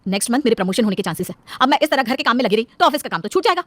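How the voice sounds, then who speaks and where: A woman acts an exasperated working daughter-in-law in a domestic story. She grumbles quickly in a fairly high voice with big swings in pitch. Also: sing-song, fairly bright tone, dry recording. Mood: decisive. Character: exasperated, ambitious elder daughter-in-law with an office job.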